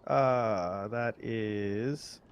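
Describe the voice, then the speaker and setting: A man with a creaky voice, bantering and roleplaying casually as a player in a tabletop game. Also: on the low side, drawn-out words, slight background noise.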